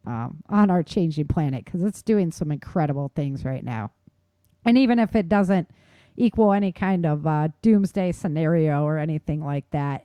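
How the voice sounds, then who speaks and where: A woman with a mellow, dark-toned voice talks as a show co-host. She comments on the news in a conversational but opinionated and emphatic way. The sound is dry, with very little room around her. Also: deep voice, no echo. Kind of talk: informal news commentary and chat.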